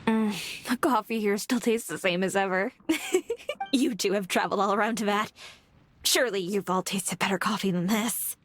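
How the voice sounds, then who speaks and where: A woman's voice: medium pitch, moderate pace, close to an everyday voice. Wistful, hesitant young woman, acted with faint noise. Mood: warm, content. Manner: hesitant, soft-hearted. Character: wistful young woman clinging to a comforting dream. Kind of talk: video game character dialogue.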